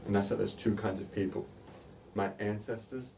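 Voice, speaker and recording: A young man, a student, reciting slam poetry earnestly and in a steady rhythm. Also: on the low side, normal speed, gravelly. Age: young adult. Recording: distant pickup with faint noise.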